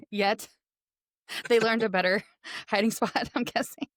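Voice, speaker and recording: A young woman with a Texan accent hosting a casual author interview, bubbly and quick to laugh. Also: a little high, steady tone, dry recording. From Texas. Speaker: podcast host.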